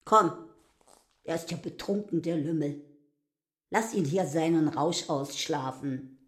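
A woman's voice: low-pitched, takes it slowly. A woman playing an irritable, disdainful innkeeper's wife in a German radio drama, speaking in a pointed, peevish way. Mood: scornful.

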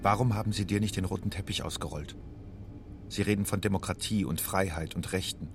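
A man's voice: low voice, fairly even intonation, a little fast, loud music behind the voice. An actor playing a grave man who insistently addresses a war refugee in a scripted dramatic monologue. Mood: grave, accusing.

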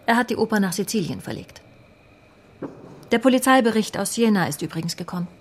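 An actress playing a sly, self-assured police secretary in a radio drama, with a knowing, teasing manner. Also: female voice, mid-range voice, animated, fairly quick, slight background noise, natural voice. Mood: breezy. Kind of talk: naturalistic radio drama acting.

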